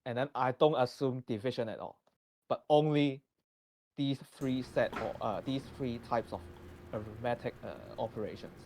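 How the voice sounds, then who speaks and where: A man with a Hong Kong accent presenting a math lesson from slides, halting and full of restarts. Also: medium pitch, a little mellow, dry recording. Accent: Hong Kong English. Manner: halting, many restarts and fillers. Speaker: guest presenter.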